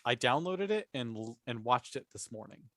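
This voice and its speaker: A man speaks casually as a podcast panelist, enthusiastic and emphatic about what he discusses. His voice sits at a middling pitch, recorded close and dry with very little room sound. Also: animated, normal speed, fairly bright tone, no room sound.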